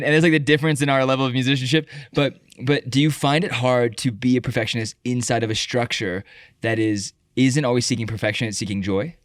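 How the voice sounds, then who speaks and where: A man's voice: on the low side, no echo, clean studio sound. A man hosting a casual interview, chatty, laughing often and restarting his sentences. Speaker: interview host. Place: indoor room at a retreat.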